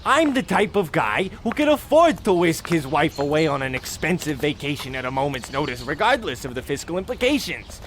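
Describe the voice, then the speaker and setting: A man's voice: a little high, sing-song, a little fast, hardly any pauses, a bit bright, a little hiss or hum. A man playing a touchy, clueless, proud husband in a comic radio play, with an indignant, bombastic delivery. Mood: indignant, determined. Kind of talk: comic old-time radio play acting.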